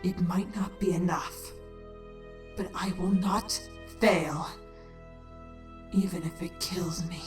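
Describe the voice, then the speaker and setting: A woman's voice: fairly low pitch, steady tone. Proud dying monster queen, echoing. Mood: fierce resolve. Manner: slow, dramatic, regal delivery. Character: dying, proud insect-like changeling queen, bitter and commanding. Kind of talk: audio drama acting. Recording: echoing voice over music.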